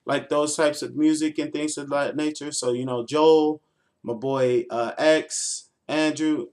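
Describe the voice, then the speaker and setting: A 24-year-old American man telling stories casually as a livestreamer, in a slangy, playful, animated way. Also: mid-range voice. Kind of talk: casual, informal storytelling.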